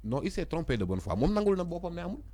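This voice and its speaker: A man's voice: a little high, very animated, very fast, dark tone. A Senegalese man speaking as a guest commentator in a political discussion, emphatic and insistently repeating his phrases.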